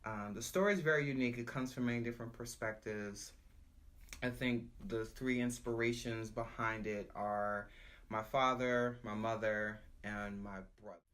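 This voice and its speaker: A woman who founded and directs an arts nonprofit, telling her story in an emphatic, expressive way in an interview. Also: extremely low pitch, normal speed. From United States.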